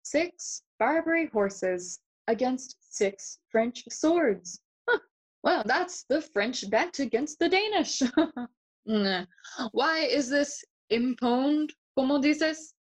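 A woman playing a brooding, mocking young Shakespearean prince in an audio play, theatrical and deliberate, moving between English and Spanish. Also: sits high, lively intonation, heavily acted. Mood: wry, challenging.

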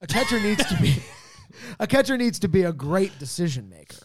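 A man's voice: high voice, big pitch swings, fairly quick, slight background noise. A man co-hosting a podcast with casual comedic banter in a wry, mock-serious manner. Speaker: podcast co-host.

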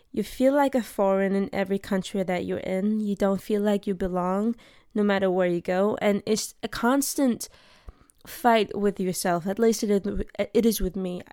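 A woman's voice: medium pitch, darkish tone, clear, resonant tone, no room sound, studio quality. A young woman from Denmark hosting her own episode, talking in an earnest, heartfelt way and searching for her words. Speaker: podcast host. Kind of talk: personal monologue.

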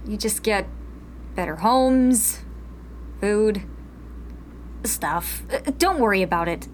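A woman's voice: mid-range voice, animated, slow pace, a little hiss or hum. A woman playing a guarded, pragmatic rebel in a sci-fi radio drama, curt, wary and matter-of-fact. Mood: wry, grim. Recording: faint music under the voice.

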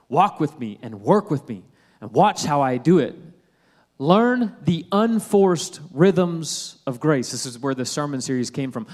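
A man in his thirties, a pastor preaching a sermon in a conversational, humorous way that climbs to loud, emphatic peaks. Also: a little high.

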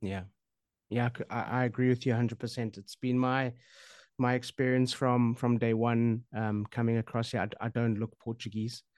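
A man's voice: medium pitch, flat delivery, normal speed, a little mellow, dry recording. A man hosting a podcast interview, friendly and agreeable, often restarting his questions as he asks them. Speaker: podcast host.

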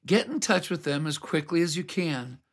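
A man hosting a devotional program, reading a scripted message in an earnest, reflective, unhurried way. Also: a little high, expressive.

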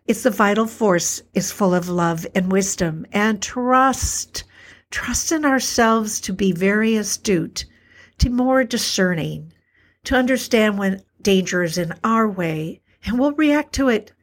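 Slightly hoarse woman, earnest and slow. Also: mid-range voice, lively intonation. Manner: earnest, repetitive, slows for emphasis. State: a little hoarse. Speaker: podcast host, alternative health practitioner. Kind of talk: reflective solo talk.

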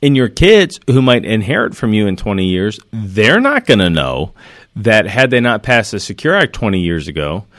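A man's voice: fairly low pitch, very animated, moderate pace, fairly bright tone, no echo, clean studio sound. A man explaining retirement rules in an earnest, emphatic way, working through number examples and often restarting his sentences. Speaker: financial commentator. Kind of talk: explanatory talk to camera.

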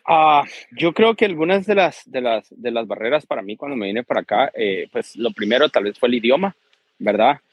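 Husky man in his thirties. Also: medium pitch, expressive, moderate pace, dry recording, muffled recording. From Guatemala. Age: 30s. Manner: hesitant, keeps adding "¿verdad?". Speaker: guest. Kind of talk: casual interview.

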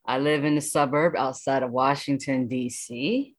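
A woman speaking casually as an interview guest, reflective and halting as she talks about her work. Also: a bass voice, fairly even intonation. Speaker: voice actor guest.

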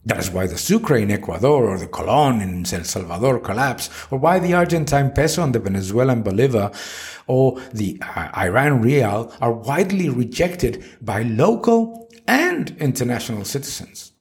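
A man's voice: medium pitch, very animated, moderate pace, a little mellow. Emphatic man with widely swinging intonation. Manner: emphatic, opinionated, argumentative. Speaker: article author reading his economics commentary aloud. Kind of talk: scripted spoken-article narration with emphatic commentary.

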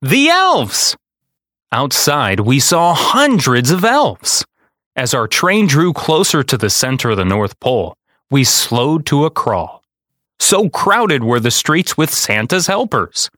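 A man narrates with a lively, swinging pitch. The recording is close and dry, suited to a podcast host reading a children's book aloud. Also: a little slow, no room sound. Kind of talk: expressive storybook narration.

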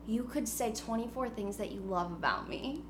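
A woman's voice: fairly high pitch, normal speed. A woman in her twenties hosting a casual podcast from home, bubbly and giggly as she rambles along. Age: 20s. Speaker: podcast host. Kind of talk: casual chat. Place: at home before a party. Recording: noisy with electrical hum.

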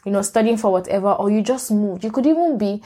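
A young woman hosts her own podcast. Her voice is mellow and soft-edged, sitting at a middle pitch for a woman. She talks in a rambling, candid way and keeps correcting herself. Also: animated, fast talker. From Nigeria. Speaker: podcast host. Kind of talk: casual solo podcast monologue.

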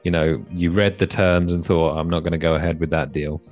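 A man hosting a podcast, asking his guest questions in a hesitant, halting way. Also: deep voice, steady tone, a little mellow, soft background music. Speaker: podcast host. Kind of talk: interview questions.

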